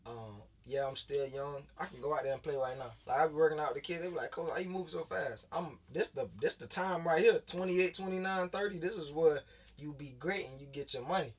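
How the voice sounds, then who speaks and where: A man's voice: fairly high pitch, fairly quick, husky, far from the mic. A man in his late twenties, a former football player turned coach, telling his story in loose casual talk, full of fillers and restarts. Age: late 20s.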